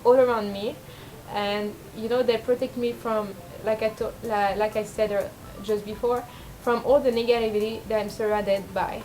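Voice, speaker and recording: A young woman with a low speaking voice, rambling and self-deprecating in a casual confessional vlog, full of fillers and restarts. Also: steady tone, normal speed, warm tone, slight background noise, rough recording. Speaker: vlogger.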